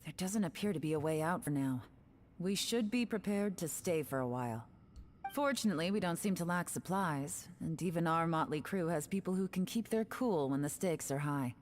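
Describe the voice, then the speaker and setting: A woman playing a cool, capable leader in a video game, measured and businesslike. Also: fairly low pitch, expressive, darkish tone, slight background noise, lightly acted. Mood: calm, grave. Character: cool, composed, capable woman taking charge.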